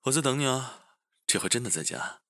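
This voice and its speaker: An actor playing an affectionate, teasing young man in love with his boyfriend, in an intimate, breathy romantic audio drama style. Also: medium pitch, lively intonation, a little fast, a bit bright, dry recording, studio quality. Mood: longing. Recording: music behind the voice.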